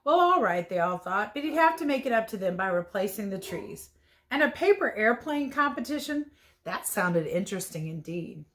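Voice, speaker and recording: A woman reading a picture book aloud as a teacher would, expressive and playful, with chatty asides about the pictures. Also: mid-range voice, moderate pace. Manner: playful, chatty, commenting on the pictures as she goes. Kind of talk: storybook read-aloud with casual asides.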